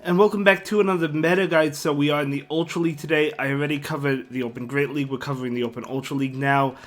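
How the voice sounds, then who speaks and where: A sick man with a hoarse voice hosting a casual game strategy video, rambling with lots of fillers and repeated words. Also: fairly high pitch, fairly even intonation, quick pace. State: sick, partly lost voice. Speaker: gaming channel host.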